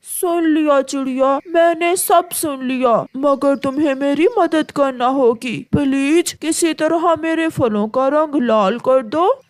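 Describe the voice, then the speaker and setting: A woman's voice: high voice, takes it slowly, hardly any pauses, darkish tone, no echo. A woman voicing a lovesick, vain male mango tree in a children's cartoon story. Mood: pleading. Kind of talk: cartoon storybook character acting.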